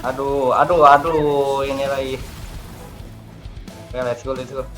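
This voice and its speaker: A man's voice: mid-range voice, little pitch movement, moderate pace. Guy streaming a game, slangy short reactions, casual, game music behind him. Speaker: gaming streamer. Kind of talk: casual gameplay commentary.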